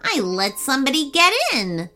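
A woman's voice: high voice, big pitch swings, moderate pace, sharp tone, pitched above the performer's own voice, exaggerated voice. A woman voicing a frantic, weepy male coconut crab in a storybook reading, screeching and sobbing. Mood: panicked.

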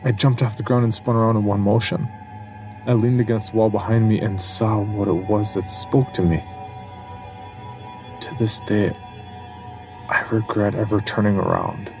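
Low, gravelly haunted man. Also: level tone, a little slow, long pauses, a little mellow, soft background music. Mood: haunted dread. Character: haunted man recounting his terror and descent into madness. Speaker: narrator of a horror audio drama. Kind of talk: first-person horror storytelling.

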